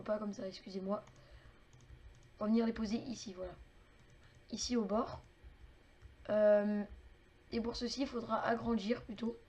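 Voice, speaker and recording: A woman's voice: mid-range voice, darkish tone, highs cut off. A woman narrating a casual game tutorial while building, chatty and full of fillers and little clicking sounds.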